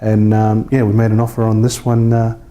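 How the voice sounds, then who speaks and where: Very mellow New man, chatting informally. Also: on the low side, completely flat delivery, a little fast. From New Zealand. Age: 30s. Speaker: real estate agent being interviewed. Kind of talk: casual interview chat.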